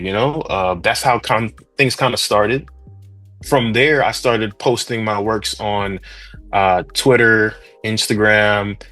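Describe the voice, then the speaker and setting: Young male guest, relaxed and rambling, low voice, dry close mic with soft music under it. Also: no room sound. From Trinidad. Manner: laid-back, rambling, slangy. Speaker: interview guest, 3D artist. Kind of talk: casual interview chat.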